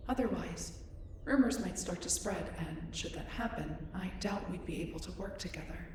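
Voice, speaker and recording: Audio drama casting: woman as reserved alien scientist, female, curious, formal and measured; very echoey, far from the mic. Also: on the low side, darkish tone, close to an everyday voice. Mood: relieved, polite. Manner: formal, measured, precise wording. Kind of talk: scripted roleplay audio drama. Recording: roomy echo, distant, clear background noise.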